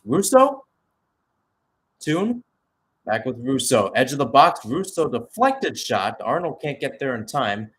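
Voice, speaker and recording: A man's voice: medium pitch, very animated, takes it slowly, fairly bright tone. A man giving live radio-style football commentary, switching between short clipped calls and conversational, analytical asides.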